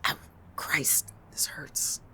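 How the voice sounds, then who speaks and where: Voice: extremely slow, bright, forceful tone, exaggerated voice. An actor in an audio drama playing an irritable, sardonic, coolly calculating town resident with a dry, biting wit. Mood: irritated, sarcastic. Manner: biting, sarcastic, dry-witted.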